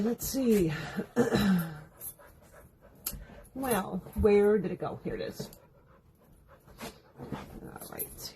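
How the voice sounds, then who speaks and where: A woman's voice: mid-range voice, dark tone. Congested woman with a nasal voice, easygoing crafting-class host who rambles and chats, light background noise. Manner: chatty, rambling, laughs often. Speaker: card-making instructor. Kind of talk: casual livestream chat.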